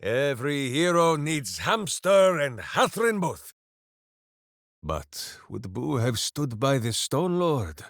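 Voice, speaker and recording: A man's voice: medium pitch, very animated, slow pace, fairly bright tone, no room sound, clean studio sound, exaggerated voice. A man playing a dim, big-hearted warrior hero in a video game, boisterous and theatrical, speaking of himself in the third person. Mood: earnest, simple-hearted. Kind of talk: video game character voice lines.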